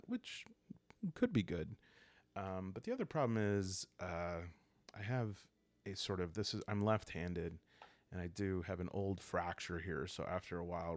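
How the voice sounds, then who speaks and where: A man with a very low voice and a strong vocal fry hosts a calm ASMR roleplaying session. He speaks softly and without hurry, thinking aloud as he explains. The sound is dry, with no room echo. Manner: calm, unhurried. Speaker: host of a solo roleplaying playthrough.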